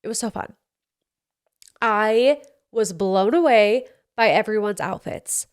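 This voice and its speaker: A woman's voice: medium pitch, slow pace, smooth. Young woman, stuffy nose, casual podcast chatter, candid, playful, close studio mic. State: congested. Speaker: podcast host. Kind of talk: casual solo podcast chat.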